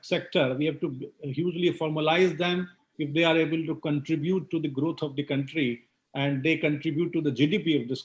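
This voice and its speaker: Halting man moderator. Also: fairly high pitch, rough recording. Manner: halting, many "you know" and "uh" fillers. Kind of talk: panel moderation.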